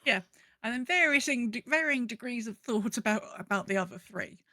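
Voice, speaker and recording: A woman's voice: on the high side, lively intonation, normal speed, sharp tone, no room sound, studio quality. A woman co-hosting a casual gaming podcast in an informal, chatty, slangy way. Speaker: podcast co-host.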